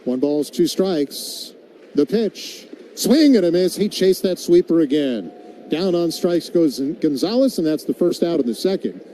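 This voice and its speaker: Dark-voiced man calling baseball on radio. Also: a little high, lively intonation, unhurried, rough recording. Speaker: play-by-play baseball announcer. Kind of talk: live radio baseball commentary. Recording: background noise of an outdoor ballpark.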